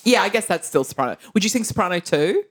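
A woman's voice: mid-range voice, big pitch swings, quick pace, husky. A woman co-hosting a casual chat about audition songs, laughing often and trailing off mid-sentence. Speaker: podcast co-host, singer.

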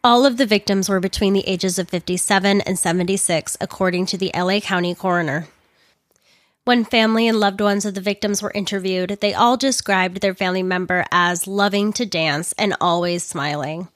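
Slightly hoarse woman, dry close sound. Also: mid-range voice, brisk, dry recording. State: hoarse, losing her voice. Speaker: true crime narrator. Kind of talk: scripted narration with personal commentary.

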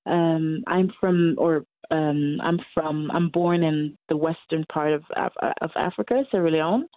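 A woman in her twenties with a Norwegian accent, a musician answering interview questions in English, often pausing to hunt for words. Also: sits low, no room sound. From Norway. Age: 20s. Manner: hesitant, searching for English words. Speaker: musician guest. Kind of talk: casual interview over a call. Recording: tinny phone-like line.

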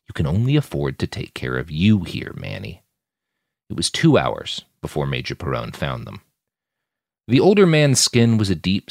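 A man's voice: low-pitched, creaky, no echo, studio quality. A man reading scripted fiction as the narrator of an audiobook. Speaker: author narrator.

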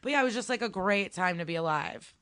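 A young woman from Ukraine talks casually on a podcast, telling animated stories with plenty of "like" fillers. Her voice sits at a middling pitch. The recording is dry and close, with no room sound. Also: fast talker, no room sound. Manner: chatty, animated storytelling with many "like" fillers. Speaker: stand-up comedian, guest.

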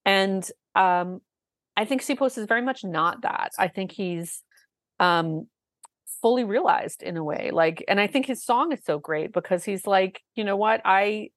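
A woman with a low voice, co-hosting a podcast, talking in an enthusiastic, chatty way with lots of fillers. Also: animated, a little slow, dry recording, studio quality. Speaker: podcast co-host. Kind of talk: casual chat about a musical.

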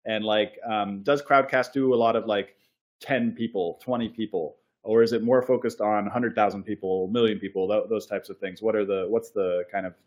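A man's voice: lowish voice, fairly even intonation, normal speed. A man hosting a casual podcast interview, rambling and restarting as he works toward his questions. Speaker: podcast host.

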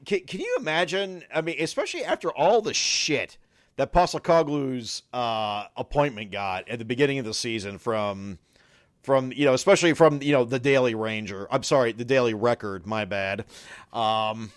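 A man co-hosting a casual football podcast, his voice raspy from a cold, often stumbling over and repeating words and laughing. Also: medium pitch, lively intonation, moderate pace, no echo, clean studio sound. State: sick with a cold. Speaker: podcast co-host.

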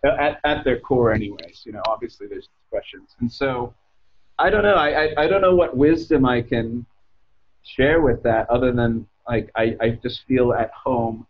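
A man's voice: medium pitch, normal speed, breathy edge, lo-fi sound. An American man in his thirties telling his story casually as an interview guest, hesitant and often correcting himself. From United States. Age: 30s.

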